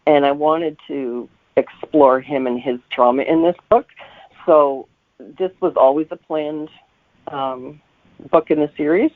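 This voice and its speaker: A man's voice: fairly high pitch, measured pace, no room sound. A man answering interview questions as a guest novelist in a conversational, reflective way, explaining things as he goes. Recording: thin telephone-like line.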